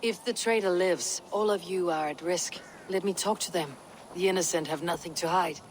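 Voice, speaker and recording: An actress playing a steely young Viking warrior woman in a video game, speaking in measured, formal, old-fashioned phrases. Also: fairly low pitch, slight background noise, natural voice. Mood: resolute. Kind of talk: video game cutscene acting.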